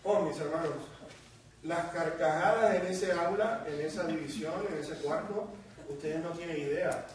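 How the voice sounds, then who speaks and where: A man's voice: a little high, fairly even intonation, a little slow, slight background noise. A man with a Puerto Rican accent preaching to a congregation, telling his own story and acting out the voices in it. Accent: Puerto Rican Spanish. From Puerto Rico. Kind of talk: sermon with personal testimony. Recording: echoey hall, distant mic.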